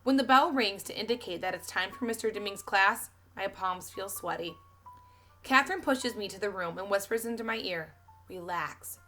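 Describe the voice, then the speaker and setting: A woman reading a children's story aloud in an expressive narrating style. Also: mid-range voice.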